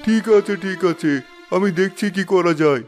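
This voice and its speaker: A man's voice: high-pitched, moderate pace, a little mellow, faint music behind, close to an everyday voice. A man voicing a mild, placating poor village husband in a cartoon. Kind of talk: cartoon character dialogue.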